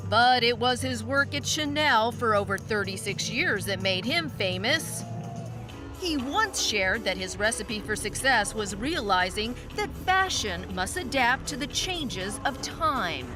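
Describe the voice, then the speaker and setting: High-voiced woman reporter over music. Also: lively intonation, measured pace. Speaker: news reporter. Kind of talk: scripted voice-over narration.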